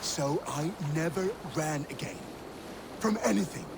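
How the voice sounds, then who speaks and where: Gravelly old Viking storyteller. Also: male voice, on the high side, animated, unhurried. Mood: bitter regret. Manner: wistful, dramatic storytelling. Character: rueful, weathered older Viking warrior telling his tale. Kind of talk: dramatic video game storytelling. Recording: loud water and boat noise.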